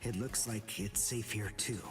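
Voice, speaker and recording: A man's voice: fairly low pitch, fairly even intonation, measured pace, distant mic, natural voice. A man playing a cool, proud teenage warrior in an English anime game dub, with a formal, precise, haughty manner. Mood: cool, resolute. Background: loud game music.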